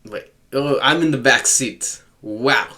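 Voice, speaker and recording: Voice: medium pitch, takes it slowly, sharp tone. A gaming channel host casually playing a visual novel, tossing wry, joking asides at the story as it unfolds. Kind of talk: casual let's-play commentary.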